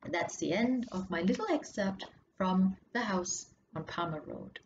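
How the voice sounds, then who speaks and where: A woman reading a story excerpt aloud with expressive, measured and dramatic narration. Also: lowish voice. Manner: measured, dramatic, drops to hushed tones for suspense. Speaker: author reading their own story. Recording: muffled audio with clear background noise.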